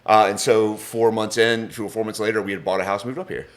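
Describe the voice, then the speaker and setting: Brief: very low-voiced male guest, grew up in England, easy candid talker. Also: flat delivery, brisk. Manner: thoughtful, candid, with frequent fillers. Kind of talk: casual interview conversation. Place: home in Bozeman.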